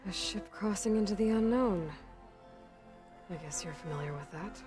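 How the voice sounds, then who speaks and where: An actress playing a practical young game heroine, direct and questioning, with little patience for fine things. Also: female voice, mid-range voice, normal speed, darkish tone, faint music behind, natural voice. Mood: dry. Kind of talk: video game dialogue.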